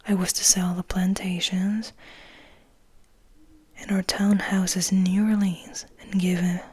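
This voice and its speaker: A woman voicing a serene but bitter male vampire in a measured, formal, breathy whisper for a storybook reading. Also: mid-range voice, little pitch movement, darkish tone. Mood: reflective, somber.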